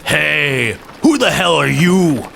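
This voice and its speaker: A man's voice: on the high side, big pitch swings, slow pace, vocal fry, sharp tone, slight background noise, exaggerated voice. An actor playing a brash, taunting young male ruffian in an audio drama. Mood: belligerent. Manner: drawling, taunting.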